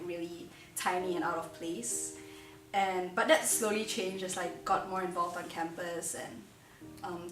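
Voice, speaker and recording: A woman from Malaysia, a student who answers interview questions casually and says "like" a lot. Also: lowish voice. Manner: casual, uses "like" often. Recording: echoey room, distant mic, music bed.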